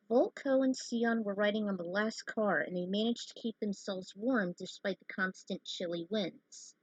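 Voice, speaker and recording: A woman's voice: mid-range voice, no echo. A woman narrating her fan fiction in an informal read-aloud style with casual asides. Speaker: fan fiction reader and host. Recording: dull lo-fi close recording.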